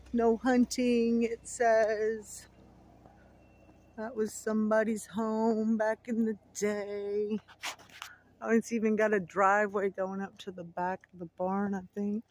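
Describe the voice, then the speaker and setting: A woman's voice: medium pitch, flat delivery, slow, darkish tone. Chatty woman vlogging from a roadside. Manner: chatty, addresses viewers as y'all. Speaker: travel vlogger. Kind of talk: casual narrated road trip vlog. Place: outdoors by a rural road in winter. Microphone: phone camera held by the filmer.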